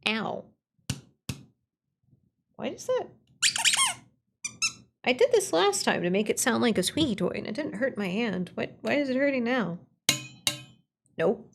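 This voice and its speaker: A woman streamer chatting casually as she works on her writing, rambling and playful as she thinks out loud. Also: fairly high pitch, very animated, unhurried, vocal fry, pauses often, background noise. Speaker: streamer, writer and voice actor. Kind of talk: casual work-along livestream chat.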